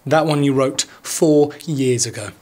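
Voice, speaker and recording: A man's voice: medium pitch, unhurried, darkish tone, lightly acted. A young man playing a blunt, complaining script office worker in a comedy soap. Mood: accusing. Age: young adult.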